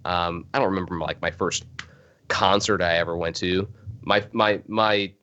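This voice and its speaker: A man's voice: low voice, steady tone, moderate pace, a bit bright. A man with a Chicago accent, a drummer guest chatting casually in an interview, rambling with frequent chuckles. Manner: rambling, laid-back, chuckles often. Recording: muffled lo-fi video call audio.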